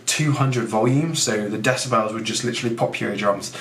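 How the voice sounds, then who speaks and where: A young man with a husky, fading voice, a fitness coach hosting a casual podcast who rambles, mocks himself and talks straight to the listener. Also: lowish voice, a little fast, fairly bright tone. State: husky, losing his voice. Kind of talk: casual podcast talk, teaching. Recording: echoey room with faint background noise.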